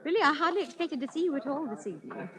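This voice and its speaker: An actress in old-time radio style playing a genteel widow, proper and coaxing, with a fussing, sweetly controlling manner. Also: female voice, high voice, expressive, rapid-fire, a crowd in the background. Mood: coy, teasing. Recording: faintly noisy old radio recording.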